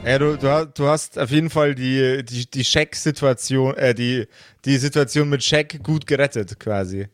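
A man's voice: mid-range voice, moderate pace, dry recording, clean studio sound, soft background music. A man narrating and chatting as game master of an improvised tabletop roleplay game.

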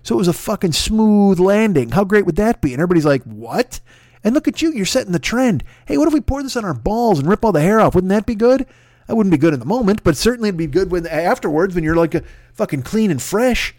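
A man's voice: high voice, expressive, fast talker, a little mellow, no echo, studio quality. A man hosting a podcast, delivering a profane, sarcastic comedic rant. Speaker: podcast host.

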